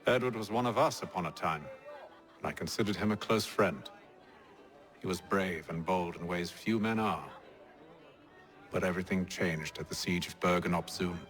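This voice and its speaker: A resolute gentleman schemer for a game. His voice is deep, his delivery formal and measured with calm authority. Soft music plays behind him. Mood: somber, reflective. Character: composed, commanding gentleman schemer, quietly resolute. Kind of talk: dramatic video game acting. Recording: faint background music under the voice.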